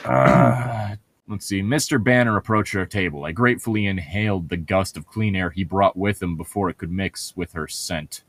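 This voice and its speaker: A man's voice: sits low, moderate pace, no room sound. A man co-hosting a casual read-aloud, throwing in sarcastic asides and laughing often. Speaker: co-host of a humorous read-aloud commentary.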